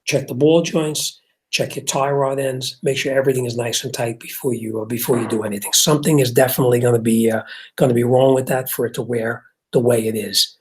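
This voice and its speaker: A middle-aged man with a New Jersey accent chats casually on a livestream, answering car questions from viewers. His voice is a little husky, and he talks in an easygoing way full of fillers and restarts. His pitch sits in a normal range for a man. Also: steady tone, brisk, rough recording. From Jersey City, New Jersey. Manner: conversational, reads chat comments aloud, frequent fillers and restarts. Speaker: host and auto mechanic answering viewer questions.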